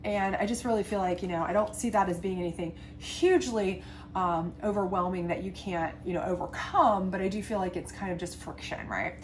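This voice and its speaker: A woman's voice: mid-range voice, fairly quick. Congested woman reading tarot cards. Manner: chatty, reassuring, many fillers and asides. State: congested from a sinus infection. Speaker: tarot reader. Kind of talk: casual tarot reading to camera.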